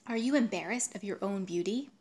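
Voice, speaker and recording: A woman's voice: medium pitch, brisk, darkish tone, natural voice. A woman voicing a self-important male suitor in a story, insistent, grandiose and wheedling. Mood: smitten.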